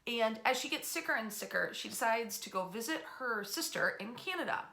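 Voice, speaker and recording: A woman's voice: on the high side, expressive, a little fast, vocal fry, pushed and bright. A woman telling history informally to an audience online, conversational, enthusiastic and emphatic in her delivery. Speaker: history storyteller and tour club host. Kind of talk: informal storytelling history lecture to camera. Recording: roomy, echoey room sound.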